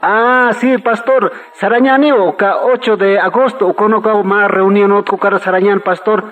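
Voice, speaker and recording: A man's voice: sits high, fairly even intonation, moderate pace, a bit bright, faint music behind. An actor playing an agreeable, deferential churchman who repeats himself, in a radio drama. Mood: agreeable, eager. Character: deferential, agreeable church man, eager to help. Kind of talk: scripted radio drama dialogue.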